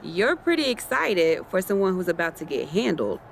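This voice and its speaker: A woman's voice: lowish voice, very animated, moderate pace, gravelly, a little hiss or hum. A woman playing a confident, swaggering wrestling champion in a video game, brash and playful. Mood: cocky, playful. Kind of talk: naturalistic video game cutscene acting.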